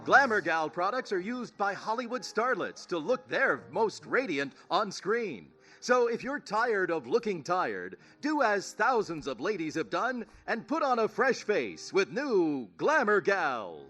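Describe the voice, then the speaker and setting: An actor playing an upbeat 1930s radio announcer selling cosmetics in an old-time commercial style. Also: male voice, high-pitched, very animated, measured pace, hardly any pauses, fairly bright tone, faint music behind, full character voice. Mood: cheery, selling. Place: theater stage.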